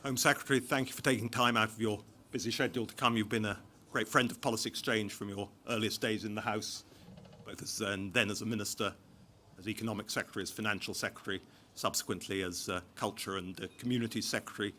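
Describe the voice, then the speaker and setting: A man hosts an evening reception with courteous, halting introductions. He talks quickly in a bright tone. Faint room ambience sits behind him. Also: on the low side, fairly even intonation, slight background noise. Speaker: event host. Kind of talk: formal welcome and introductions.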